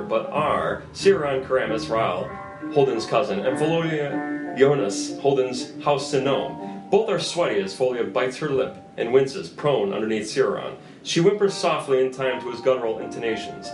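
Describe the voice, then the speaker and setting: A man in his thirties reading screenplay stage directions as scripted narration. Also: mid-range voice. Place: small indoor room at a table. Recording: roomy echo, faint music behind.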